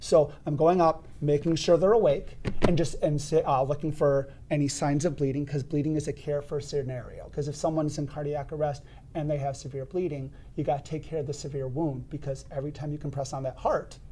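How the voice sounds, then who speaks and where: A man explaining CPR steps as an instructor in a podcast studio, with a loud, emphatic teaching voice and frequent restarts. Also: fairly high pitch, fairly even intonation, fairly quick, a little hiss or hum.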